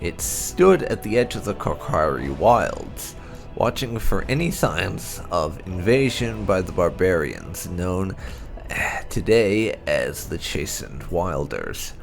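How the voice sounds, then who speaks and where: Low-voiced man reading fantasy lore expressively. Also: very animated, slow pace, creaky, soft background music. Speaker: gaming channel host reading game lore aloud.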